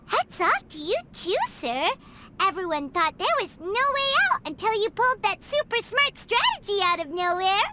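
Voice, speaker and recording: A woman's voice: very high-pitched, sing-song, moderate pace, heavy background noise. A woman voicing a small, chirpy, fairy-like companion girl who blurts out her thoughts, over game music. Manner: bubbly, blurts out suspicions.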